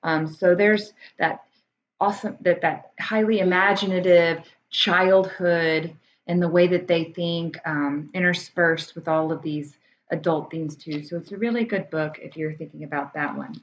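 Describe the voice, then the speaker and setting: Low-voiced American woman, casual teacher chat. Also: steady tone, measured pace. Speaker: teacher and vlogger presenting books. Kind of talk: casual explanatory chat to camera. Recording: dull muffled audio, background room noise.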